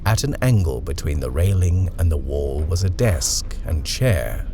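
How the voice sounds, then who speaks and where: Animated man reading a horror tale. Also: rumbling low, a little slow, background noise. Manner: expressive, suspenseful. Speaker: narrator. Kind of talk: dramatic horror storybook reading.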